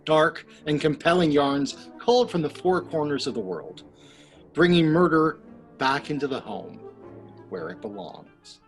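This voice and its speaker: An old radio suspense host, ominous n droll, slightly high voice, very up and down. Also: male voice, lively intonation, unhurried, faint music behind. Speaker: narrator and announcer of a radio play.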